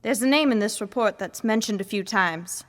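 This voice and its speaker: Police chief for radio serial, female actor: mid-pitched, composed, businesslike, in a reverberant space. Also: normal speed, close to an everyday voice. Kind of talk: old-time radio drama acting. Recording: long reverb.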